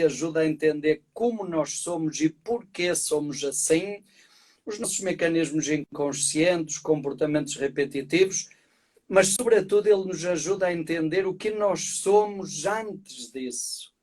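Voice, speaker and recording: Calm, reflective middle-aged priest. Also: male voice, fairly high pitch, unhurried. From Portugal. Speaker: guest priest. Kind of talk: live-stream conversation. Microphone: video call.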